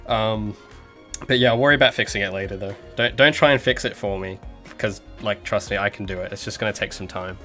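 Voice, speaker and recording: A man's voice: lowish voice, fairly quick, pushed and bright, faint music behind. A man from Australia, an indie game developer, chatting casually on a livestream, rambling with many fillers and restarts. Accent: Australian with some American sounds.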